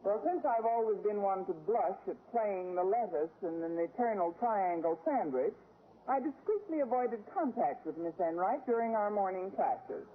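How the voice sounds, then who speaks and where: A woman's voice: mid-range voice, expressive, normal speed, lightly acted. An actress playing a sardonic woman schoolteacher with dry, wisecracking delivery in an old radio sitcom. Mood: wry narration. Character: sardonic, lovelorn woman schoolteacher with quick comebacks. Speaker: lead actress and narrator. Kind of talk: 1940s-50s radio sitcom acting. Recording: tinny, very noisy vintage radio recording.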